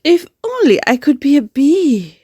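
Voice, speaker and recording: A woman's voice: sits high, big pitch swings, unhurried, warm tone, no room sound, pitched above the performer's own voice. Woman voicing an eager, honey-mad young bear. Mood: wistful. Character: young bear posing as a bee, earnest, sweet, honey-mad.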